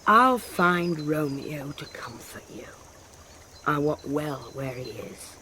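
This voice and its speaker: An actress playing an old, blunt and loyal grieving nurse in a Shakespearean radio drama. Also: female voice, low-pitched, lively intonation, slow, pushed and bright, a little hiss or hum, full character voice. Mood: soothing, practical.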